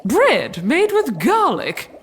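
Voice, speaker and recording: A woman playing a brisk, blunt and gullible queen in a comic audio drama, regal and exclamatory. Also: sits high, huge pitch swings, a little hiss or hum, heavily acted. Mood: brisk, imperious.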